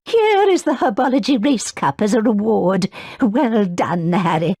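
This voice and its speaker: A woman playing a brisk, encouraging schoolteacher witch who gives formal instructions in a video game. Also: medium pitch, expressive, lightly acted. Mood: welcoming, congratulating.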